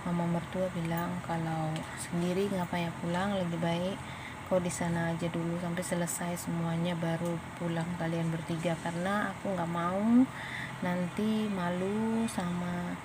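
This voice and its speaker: An Indonesian woman with a fairly low voice tells a personal story in a casual vlog style. She has an Indonesian accent and talks conversationally, as if to friends. The recording has clear ambient and vehicle noise behind her. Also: flat delivery, measured pace. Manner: conversational, addresses viewers as friends. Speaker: vlogger. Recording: noisy, with vehicle and ambient sound.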